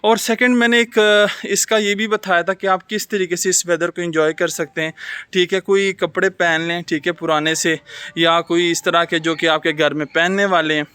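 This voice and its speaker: A man's voice: high voice, fairly even intonation, moderate pace, sharp tone, clear, resonant tone, dry recording. A man vlogging in a casual, reflective, advice-giving way, mixing English words into his speech. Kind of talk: casual chat to camera. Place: outdoors in rainy weather.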